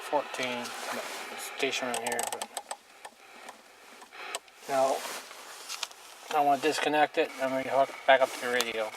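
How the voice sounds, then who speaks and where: A clearly noisy amateur recording with clicks carries a man's voice at an average pitch. He talks casually, like a hobbyist showing his gear hands-on. His manner is blunt and plain-spoken, with some mild crudeness. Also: fairly even intonation, measured pace, pauses often. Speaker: hobbyist reviewer demonstrating radio gear.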